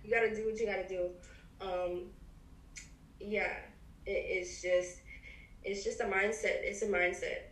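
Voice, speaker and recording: A twenty-one-year-old woman chatting casually as a podcast guest, halting, with many fillers and restarts. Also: mid-range voice, steady tone, recorded from a distance. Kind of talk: casual chat. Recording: echoey room, off-mic, faint hiss.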